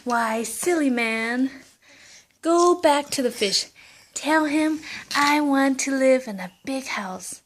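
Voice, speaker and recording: A woman voicing a mean, bossy old grandma in a bedtime story, shrill and demanding. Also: expressive, slow pace, slight background noise.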